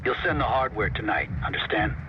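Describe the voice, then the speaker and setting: An actor playing a menacing, demanding arms buyer with curt, commanding delivery over an intercepted radio call. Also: male voice, fairly low pitch, fairly quick, lo-fi sound, noisy background. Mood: cold, threatening. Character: menacing, demanding male operative buying weapons. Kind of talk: dramatic video game acting.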